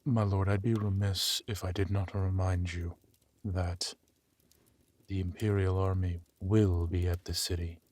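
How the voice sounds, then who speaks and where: A man voicing a dutiful henchman to a warlord in an audio roleplay, formal and subservient, with a rough voice. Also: low-pitched, flat delivery, measured pace, darkish tone, no echo. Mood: obedient.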